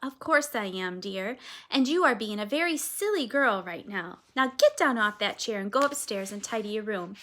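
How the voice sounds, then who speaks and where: A woman voicing a gentle, patient mother in a read-aloud storybook. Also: medium pitch, animated, normal speed, natural voice. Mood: motherly. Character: warm patient middle-aged mother.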